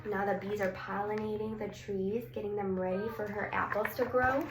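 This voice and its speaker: A woman's voice: medium pitch, fairly even intonation, normal speed. A woman reading a picture book aloud to young children, drawing out words and putting questions to her listeners. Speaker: story reader for young children. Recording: roomy echo, some background noise.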